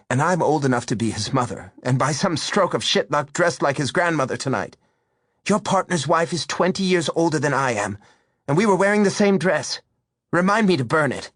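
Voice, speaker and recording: A man's voice: mid-range voice, fairly bright tone, no echo, studio quality, voice pushed up. A man voicing a jealous older woman who is furious, biting and sarcastic, in a storybook reading.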